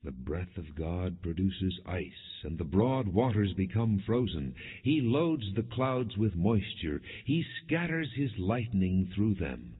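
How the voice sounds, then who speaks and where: A man narrating scripture in a solemn, measured, declamatory way, as in an audio Bible. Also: sits low, big pitch swings, breathy edge. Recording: phone-like narrow band, long hall reverb.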